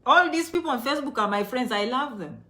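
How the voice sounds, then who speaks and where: A woman's voice: sits high, animated, fast, sharp tone. A woman with a Kenyan accent talking casually to her online viewers in an emphatic, motivational way, repeating phrases for stress. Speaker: online video host.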